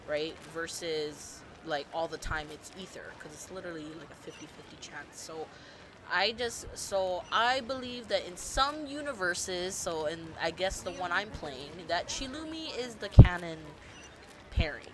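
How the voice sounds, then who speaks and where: A woman's voice: lowish voice, normal speed. Gushing woman streamer over music. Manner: gushing, animated, swearing casually, lots of fillers. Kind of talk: casual rambling stream talk. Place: home streaming setup.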